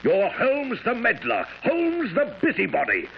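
A hot-tempered villain of radio drama, a threatening doctor. His high voice is gravelly and blustering. It comes through a muffled old broadcast. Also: wildly swooping pitch, a little slow, a little hiss or hum, heavily acted. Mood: furious, threatening.

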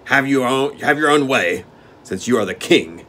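A man voicing a gruff male gnome steward who growls and scolds his master bluntly. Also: medium pitch, moderate pace, pitched above the performer's own voice, lightly acted. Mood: gruff, scolding.

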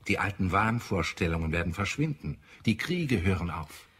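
Ein Schauspieler spielt in einem deutschen Hörspiel einen ernsten, idealistischen Marsianer, der getragen und deklamierend spricht. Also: male voice, deep voice, animated, moderate pace, fairly bright tone, slightly rough, exaggerated voice. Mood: idealistic, resolute. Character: earnest, idealistic Martian man, visionary and grave. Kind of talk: German radio play acting.

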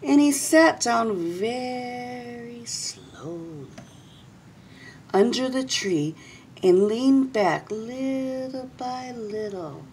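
A middle-aged woman reading a children's story aloud expressively, with dramatic pauses and drawn-out words. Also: a little high, mellow, slight background noise. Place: small indoor room.

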